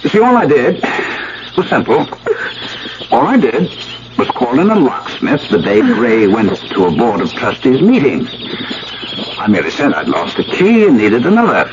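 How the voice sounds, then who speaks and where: A dull, hissy old radio recording carries a vengeful, unhinged husband. His delivery swings hugely in pitch, savoring each threat with theatrical, mocking politeness. He is a gloating radio-play villain who draws out his menace. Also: huge pitch swings, moderate pace. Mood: gloating, mock-polite. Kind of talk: 1970s radio drama acting.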